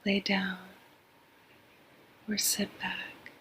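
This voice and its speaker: A woman guiding a sleep meditation in a breathy half-whisper, soothing and measured, with long pauses. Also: medium pitch, completely flat delivery, bright, forceful tone, slight background noise, poor audio. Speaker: meditation guide. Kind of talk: slow guided sleep meditation.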